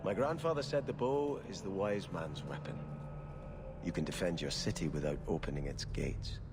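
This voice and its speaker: An earnest young scottish prince in a game, lowish voice, very proper and reverent, noisy background. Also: male voice, normal speed. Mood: solemn, reflective. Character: pious, earnest young exiled prince and archer. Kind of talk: dramatic video game dialogue.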